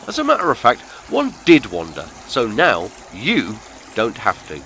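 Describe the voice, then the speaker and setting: A man's voice: medium pitch, wildly swooping pitch, measured pace, very noisy. A man hosting a podcast, delivering a scripted satirical monologue in florid, pompous, sardonic phrasing. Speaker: podcast host.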